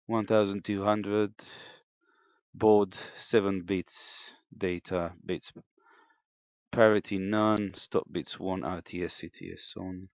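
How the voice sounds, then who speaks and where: A man giving an unscripted, step-by-step technical demonstration in a hesitant, halting way, in a slightly muffled room recording. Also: low voice, steady tone, no echo. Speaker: amateur radio operator demonstrating equipment.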